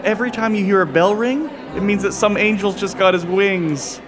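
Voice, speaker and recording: An actor playing a quaint, innocent, very old guardian angel with a gentle, flustered manner in a radio play. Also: male voice, high voice, moderate pace, close to an everyday voice. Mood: innocent, eager. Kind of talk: old-time radio drama acting. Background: music.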